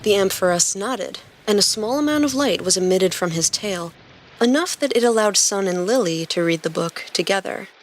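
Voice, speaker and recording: A woman's voice: mid-range voice, expressive, normal speed, a little hiss or hum, low-quality recording. A woman reading scripted story narration as a podcast narrator and host.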